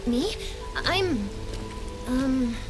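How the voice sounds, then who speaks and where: Polite bookish anime game girl, highish voice, formal wording, music and rain behind her. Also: lively intonation, extremely slow, exaggerated voice. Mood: earnest, thoughtful. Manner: formal, careful phrasing. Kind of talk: anime-style game voice acting.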